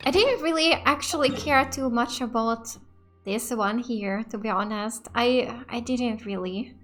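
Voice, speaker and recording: A woman's voice: a little high, fairly bright tone. A woman giving casual gameplay commentary as the host of a let's play. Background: faint game music.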